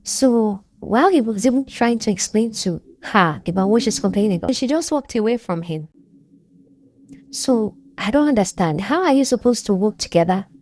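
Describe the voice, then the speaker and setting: A woman's voice: mid-range voice, lively intonation, moderate pace, darkish tone. A woman giving casual, opinionated commentary on a reality show, often seeking agreement from her viewers and laughing between points.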